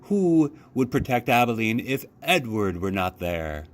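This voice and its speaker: A man voicing a jovial, gently mocking father in a storybook, formal and playfully grand. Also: medium pitch, very animated, a little slow.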